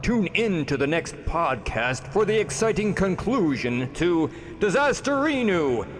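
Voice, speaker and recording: A man's voice: sing-song, a little slow, heavily acted. A man playing a melodramatic announcer narrating the cliffhanger of a space villain serial. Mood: grandly suspenseful. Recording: long booming reverb.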